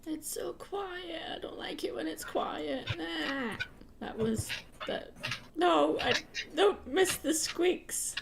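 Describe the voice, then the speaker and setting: A woman's voice: sits high, lively intonation, slow, vocal fry, noisy background. A woman chatting casually and playfully while livestreaming her artwork. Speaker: digital artist livestreaming.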